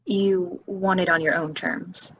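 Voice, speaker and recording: Woman acting as creaky-voiced, wry, sympathetic woman. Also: lowish voice, steady tone, slow, a bit bright, no room sound, lo-fi sound, exaggerated voice. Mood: gentle, sympathetic. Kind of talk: table reading of a stage play.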